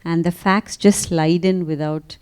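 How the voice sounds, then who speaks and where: A woman interviewing an author, asking long questions with many pauses and fillers. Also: on the low side, fairly even intonation, a little mellow, smooth. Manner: hesitant, searching questions with fillers. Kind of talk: conversational interview.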